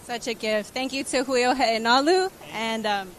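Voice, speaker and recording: A woman interviewing surfers on the beach, bright and upbeat. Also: on the high side, normal speed. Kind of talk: sports interview.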